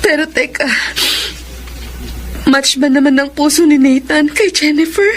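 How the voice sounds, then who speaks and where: A woman's voice: high voice, steady tone, measured pace, slight background noise. A Filipino woman acting an anguished, loving mother in a melodramatic radio drama. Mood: moved, doubtful. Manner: melodramatic, emotional.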